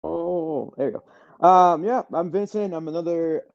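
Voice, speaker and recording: A man's voice: fairly high pitch, normal speed, darkish tone, muffled recording. A man, casually introducing himself as an athlete guest. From Canada. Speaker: college wheelchair basketball player, guest.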